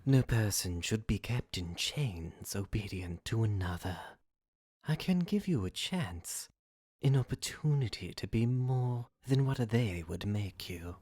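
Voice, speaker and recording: Male actor as courteous demon tempter, slow, insinuating, formal, husky, dry close sound, audio drama. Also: lowish voice, animated, darkish tone, dry recording, heavily acted. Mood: smooth temptation. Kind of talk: podcast audio drama acting. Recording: dry amateur home recording.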